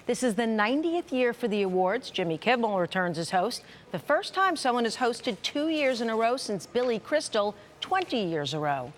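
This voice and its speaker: A woman's voice: on the high side, big pitch swings, normal speed, few pauses, slight background noise. A woman anchoring TV news in a conversational, chatty way, speaking directly to viewers. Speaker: TV news anchor and consumer reporter. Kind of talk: broadcast news presenting, conversational.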